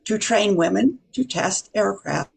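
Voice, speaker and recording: A woman's voice: mid-range voice, lively intonation, unhurried, gravelly, slightly rough, heavily acted, poor audio. A woman narrating an amateur radio play from a script.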